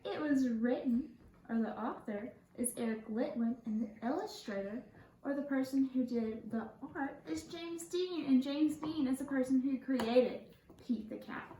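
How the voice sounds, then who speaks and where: A woman schoolteacher reading a picture book to young children in an animated, sing-song way, pausing to put questions to them. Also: high-pitched. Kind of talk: read-aloud picture book lesson for children. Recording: echoey room, faint background noise.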